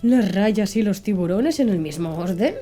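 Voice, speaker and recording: A woman voicing a blunt, plain-spoken male Canadian harpooner in an audiobook, with a gruff, mocking way of talking. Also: medium pitch, expressive, moderate pace, mellow, faint music behind, lightly acted. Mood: wry.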